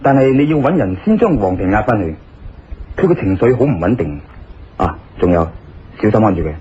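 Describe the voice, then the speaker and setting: A man's voice: on the low side, very animated, fairly quick, warm tone, dull audio, slight background noise. A man acting an assured, reassuring investigator in a Cantonese radio drama. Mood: calm.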